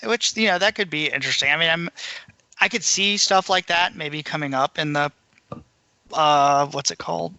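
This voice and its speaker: Higher male voice, very bright and forward, dry close sound, casual podcast co-host. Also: moderate pace, vocal fry, no echo. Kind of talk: casual fan discussion.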